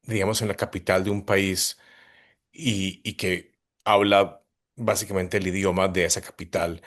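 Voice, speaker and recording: A man from Colombia, moderating a discussion on a video call in a calm, reflective way. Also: deep voice, unhurried.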